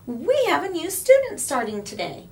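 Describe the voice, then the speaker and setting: A woman's voice: very high voice, wildly swooping pitch, moderate pace, pitched above the performer's own voice, exaggerated voice. A woman voicing fed-up, commanding schoolteachers addressing a class in a children's storybook. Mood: announcing, firm. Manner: emphatic, commanding. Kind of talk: children's storybook read-aloud.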